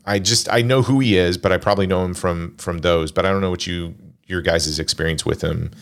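A man's voice: sits low, steady tone, fairly quick, creaky. A man hosting a casual movie podcast, joking and rambling as he leads the talk. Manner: jokey, rambling, self-deprecating. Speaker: podcast host.

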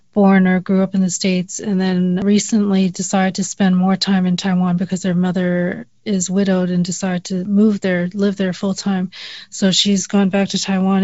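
Flat-toned woman podcast host. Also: mid-range voice, no room sound, highs cut off. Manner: attentive, frequent short affirmations. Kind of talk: casual interview.

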